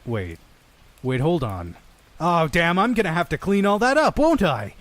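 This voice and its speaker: A man playing a sardonic, sinister innkeeper who narrates grisly horror tales in an audio drama, with dramatic pauses. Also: fairly high pitch, very animated, fairly bright tone, no room sound. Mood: exasperated, wry. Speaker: storytelling narrator in character. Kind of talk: theatrical horror anthology narration, shifting to wry asides.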